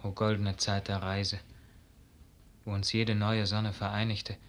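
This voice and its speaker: A man's voice: fairly low pitch, level tone, a little slow. An actor playing a lovesick, earnest young nobleman with a yearning, lyrical delivery in a 1950s radio drama. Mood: wistful rapture. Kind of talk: 1950s German radio play acting.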